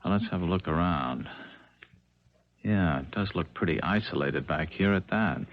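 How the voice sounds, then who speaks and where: An actor playing an uneasy ex-con with halting, slangy talk in a 1970s radio drama. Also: male voice, low voice, expressive. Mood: uneasy, grudging. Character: uneasy ex-con posing as a fiancé, low-voiced hired killer. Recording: muffled vintage radio broadcast.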